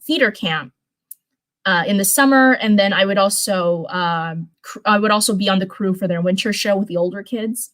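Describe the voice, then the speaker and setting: A woman's voice: medium pitch, fairly bright tone. Young woman reading and chatting casually. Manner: rambling, informal, frequent self-corrections. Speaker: livestream host reading fan fiction. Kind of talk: casual livestream chat and story read-aloud.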